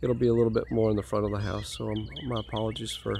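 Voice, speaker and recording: A man's voice: lowish voice, little pitch movement, racing, creaky, darkish tone, slight background noise. Homeowner vlogger, male, stuffy cold, easygoing rambling tour, outdoors with faint country ambience. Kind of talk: casual site walkthrough. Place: outdoors at a house build site.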